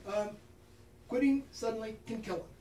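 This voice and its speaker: A woman's voice: fairly high pitch, moderate pace, mellow. A woman from Kansas, a pain management doctor, gives an informal talk to clinicians, tossing in "right?" after her points and laughing at herself. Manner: frequent tag questions, laughs at herself. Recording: roomy echo, distant mic, noticeable background noise.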